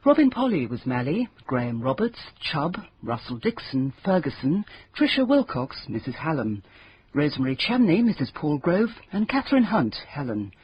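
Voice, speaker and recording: Brief: formal male announcer, fairly high, lively voice, dull broadcast sound with faint hiss. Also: moderate pace. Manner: formal and clear. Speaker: continuity announcer. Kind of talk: formal broadcast credits reading. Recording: slightly dull radio recording, faint hiss.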